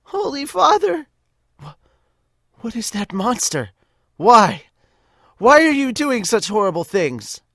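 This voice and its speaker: A man's voice: extremely high pitch, big pitch swings, slow, creaky, pauses often, fairly bright tone, no room sound, studio quality, voice pushed up, heavily acted. A man voicing an earnest, anguished young villager who pleads for the innocent in an impassioned amateur manga dub. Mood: horrified.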